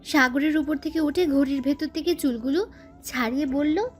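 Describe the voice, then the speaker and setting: A woman's voice: sits high, moderate pace, soft background music. A woman reading scripted narration as the storyteller of a romantic audio story.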